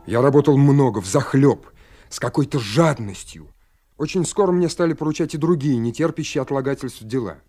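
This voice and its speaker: A man's voice: medium pitch, darkish tone, close to an everyday voice. An actor playing an earnest, eager young naval lieutenant in a radio play, at times narrating his own story reflectively. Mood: brisk, proud. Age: young adult.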